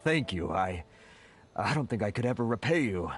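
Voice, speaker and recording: A man's voice: on the low side, sing-song, normal speed. A man voicing a timid, earnest merchant in a video game, hesitant and deferential. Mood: grateful. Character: timid, grateful male merchant, earnest and flustered. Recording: faint game music under the voice.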